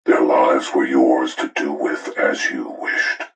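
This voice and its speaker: A cold older killer in a horror game, with an extremely deep, breathy rasp. He speaks with ominous deliberation, like a judge handing down sentences. The voice rings with echo. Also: completely flat delivery, heavy background noise. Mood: cold menace. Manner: deliberate, ominous, coldly moralizing. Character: cold, menacing older male serial killer mastermind setting deadly games. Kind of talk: sinister villain monologue for a horror video game.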